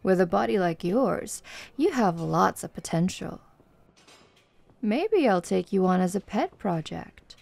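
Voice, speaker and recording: A woman playing a confident, flirtatious tomboy MMA coach in an ASMR roleplay, speaking softly and teasingly to the listener. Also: medium pitch, expressive, gravelly. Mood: teasing, seductive. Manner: slow, teasing, giggly, encouraging.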